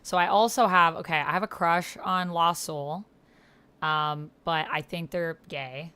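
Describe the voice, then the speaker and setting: A woman's voice: fairly low pitch, fairly even intonation, unhurried, bright, forceful tone, clear, resonant tone. A woman hosting an online roleplaying game playthrough, explaining things casually and informally as she thinks aloud. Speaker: host and player of an online text roleplaying game. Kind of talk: casual explanatory chat while playing, with some reading aloud.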